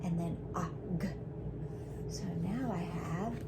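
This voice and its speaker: Woman, children's reading teacher, very slow pace, careful sounding-out, questioning, noisy background. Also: on the low side. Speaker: children's read-aloud teacher. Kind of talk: interactive rhyming lesson for young children.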